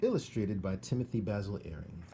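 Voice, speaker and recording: A man reads a storybook aloud expressively, in a fairly low, mellow voice. His pitch moves in lively swings over faint background noise. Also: a little fast. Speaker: storybook narrator. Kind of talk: expressive read-aloud narration.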